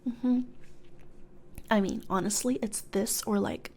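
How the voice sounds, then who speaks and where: A woman playing a blithe corporate recruiter for hell in a soft-spoken ASMR roleplay, hesitant and conversational, often slipping into whispers, close on the mic. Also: medium pitch, takes it slowly, gravelly. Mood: breezy, dismissive. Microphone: close studio condenser microphone.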